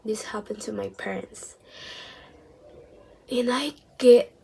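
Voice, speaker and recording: A young woman vlogging, telling a personal story casually, hesitant and full of restarts, dropping into soft whispered asides. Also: on the high side, takes it slowly, vocal fry, husky.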